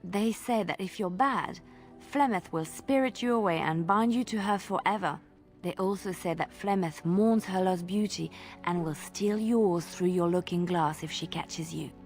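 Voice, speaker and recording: An actress playing a solemn storyteller in a fantasy game, recounting an old dark legend in measured, lyrical phrases. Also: female voice, lowish voice, soft background music, close to an everyday voice. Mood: solemn storytelling.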